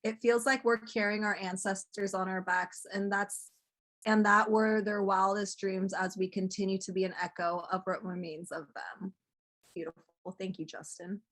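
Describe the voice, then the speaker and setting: A woman's voice: mid-range voice, level tone, normal speed, a bit bright. A young woman, a student presenter and host, introducing speakers and reading prepared remarks aloud. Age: young adult.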